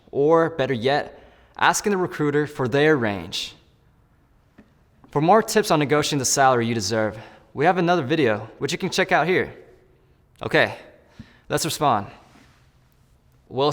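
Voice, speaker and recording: A man's voice: on the high side, fairly bright tone. Man explaining his interview steps in an echoey room. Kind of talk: scripted role-play with asides to the viewer.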